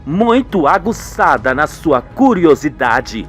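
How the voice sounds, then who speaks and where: A man's voice: fairly high pitch, sing-song, slow pace, soft background music. A man with a Brazilian accent giving theatrical, dramatic narration of a radio soap.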